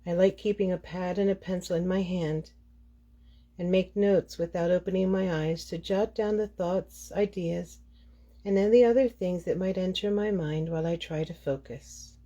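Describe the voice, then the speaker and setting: Female meditation guide, lower register, very soft-edged dark tone, measured phrasing with pauses. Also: steady tone.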